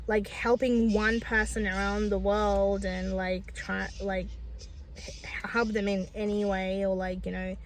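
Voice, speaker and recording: A woman's voice: medium pitch, level tone, a little slow, a little hiss or hum, low-quality recording. A woman speaking in a personal vlog, stuttering with repeated sounds and restarts, earnest and reflective with many fillers. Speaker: vlogger.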